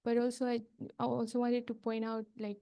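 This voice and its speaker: A soft-spoken woman from Fiji gives a workshop talk as a project coordinator. Her voice is mellow and somewhat high. She pauses often and fills the gaps with hesitations. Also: completely flat delivery, creaky, clean tone. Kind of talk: workshop presentation without slides.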